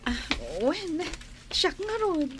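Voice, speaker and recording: A high-pitched young Ilocano wife from an audio drama, played by an actress, humble and worried. Her delivery is earnest and plaintive, with some noise behind the voice. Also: expressive, drawn-out words, full character voice. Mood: polite, hesitant. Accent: Filipino, Ilocano.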